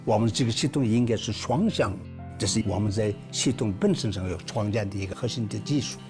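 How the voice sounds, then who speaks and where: A man, a professor and engineer, giving an interview in which he explains his technology deliberately. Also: fairly low pitch. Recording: music bed under the voice.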